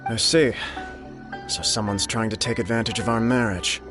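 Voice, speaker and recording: Man playing an earnest, low-voiced young nobleman. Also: normal speed, over music, close to an everyday voice. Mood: polite, uneasy. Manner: polite, sincere, formal. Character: earnest, gentle young noble groom, devoted and dutiful. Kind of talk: video game voice acting.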